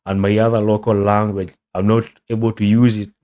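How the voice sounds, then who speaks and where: A man's voice: lowish voice, a little fast. A young man from Kenya with a Kenyan accent, chatting casually as a content creator in English, which is not his first language, and dropping "bro" again and again. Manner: relaxed, says "bro" a lot, rambling. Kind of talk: casual reaction commentary.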